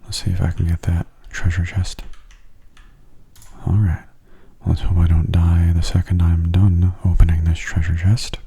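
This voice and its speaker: Very soft, breathy low-voiced man, ASMR. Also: completely flat delivery. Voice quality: soft, breathy, often near whisper. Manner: gentle, unhurried, low-key. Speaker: gaming video host. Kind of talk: soft-spoken ASMR game commentary.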